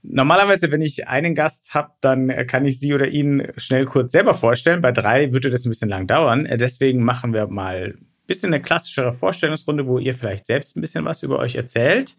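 Podcast-Moderator, leicht belegte Stimme. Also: male voice, mid-range voice, expressive, a little fast. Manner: friendly, chatty moderating. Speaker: podcast host. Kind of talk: casual podcast interview.

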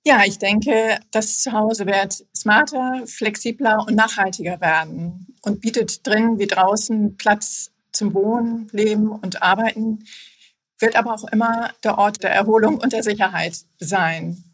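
A woman in her fifties answers interview questions in a measured, formal way. Her voice has a slightly husky, breathy edge. She sounds like a seasoned executive explaining her organization. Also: medium pitch. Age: 50s. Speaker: housing cooperative executive, guest. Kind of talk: podcast interview.

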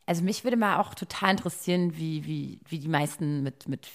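A woman in her thirties co-hosting a podcast who talks openly about her own mistakes and often pauses and restarts while she searches for words. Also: deep voice. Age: 30s. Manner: searching, hesitant, confessional. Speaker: podcast co-host. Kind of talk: candid casual conversation.

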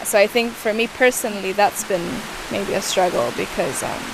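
Young woman talking slow and thoughtful in a second language, hesitant, lots of restarts. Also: mid-range voice, gravelly, noisy background. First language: not English. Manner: hesitant, searching for words, many restarts. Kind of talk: thoughtful interview answers.

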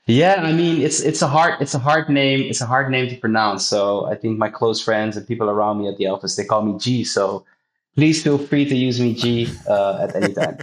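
A man's voice: medium pitch, moderate pace. A Dutch man in his thirties, a fashion brand founder, chatting loosely as an interview guest with many fillers. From Netherlands. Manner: relaxed, talks in loose run-on sentences with fillers. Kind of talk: casual interview. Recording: echoey room.

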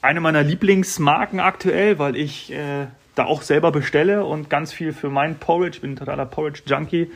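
A man's voice: a little high, steady tone, brisk, few pauses. A man from Hesse hosting a podcast, chatting casually and enthusiastically with a shop owner inside his small shop, tossing in slangy praise. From Hesse, Germany. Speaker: podcast host. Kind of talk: casual interview chat.

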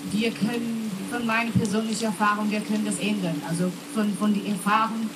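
A woman's voice: mid-range voice, flat delivery, brisk, noisy background. A woman on a live festival panel, speaking hesitantly and searching for words, with many fillers. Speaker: festival booking team member. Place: festival tent in the rain.

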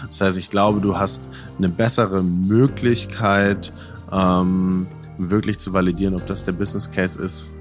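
A man's voice: sits low, level tone, measured pace, soft background music. A young man from northern Germany, a founder answering interview questions casually and reflectively, often searching for words. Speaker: podcast guest, founder.

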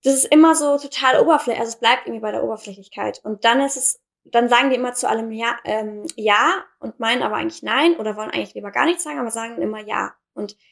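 Hesitant young German woman, fairly high voice. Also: fast talker, vocal fry, few pauses. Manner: hesitant, many fillers and self-corrections. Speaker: podcast co-host. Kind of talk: casual podcast chat.

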